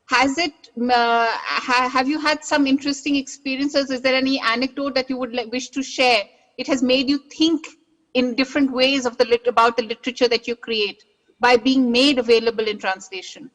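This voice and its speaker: A woman's voice: sits high, steady tone, normal speed, fairly bright tone, rough recording. A woman moderating a festival panel, asking polite, rambling questions and rephrasing them as she goes.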